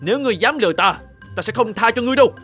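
A man's voice: very high-pitched, animated, rapid-fire, a bit bright, husky, exaggerated voice. A man playing a poor, blunt but kind young hero in a fairy-tale cartoon, speaking theatrically. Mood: angry, threatening. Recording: music behind the voice.

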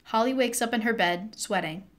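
A woman's voice: a little high, moderate pace, heavy background noise. A woman giving scripted narration, reading out scene headings and stage directions.

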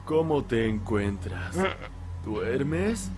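A man's voice: mid-range voice, sing-song. A man voicing a creepy, monstrous middle-aged neighbour woman in a horror anime fan dub, calling out insistently and eerily. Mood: creepy coaxing. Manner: eerie, drawn-out calling, insistent. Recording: loud music behind the voice. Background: loud music.

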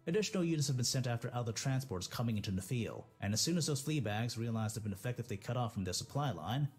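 A man's voice: medium pitch, slightly rough. A man playing a stern, formal military officer delivering a mission briefing in a space game. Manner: formal, authoritative.

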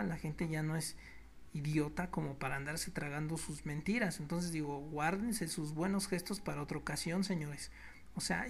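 A man's voice: fairly high pitch, vocal fry. A man with a Mexican accent giving an indignant, sarcastic news commentary monologue as a political blogger. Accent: Mexican Spanish. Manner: speaks to listeners as "you all".

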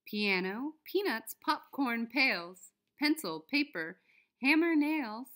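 Woman reading a picture book, slowly and animatedly. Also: a little high. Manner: deliberate, expressive reading for young children. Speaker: children's book reader. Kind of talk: storybook read-aloud.

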